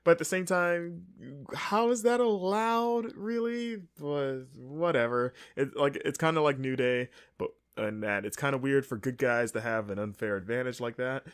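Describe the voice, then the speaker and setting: A man's voice: mid-range voice, normal speed, clean tone. A man with a stuffy, congested voice, casually recapping a wrestling show as podcast host in a rambling, wry, sarcastic way. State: congested, with sniffles.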